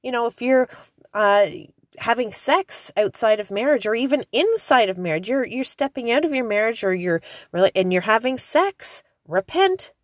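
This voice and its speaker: A woman in her forties preaching informally, turning impassioned with high emphatic calls and chatty fillers. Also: expressive, normal speed, no room sound, studio quality. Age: 40s. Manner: conversational with frequent fillers.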